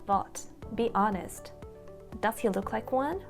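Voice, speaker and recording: A woman English teacher speaks in an earnest, reflective way, addressing the viewer directly. Her voice sits somewhat high, and soft music plays faintly underneath. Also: takes it slowly. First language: Russian. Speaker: English teacher, course founder. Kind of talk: scripted promotional talk to camera.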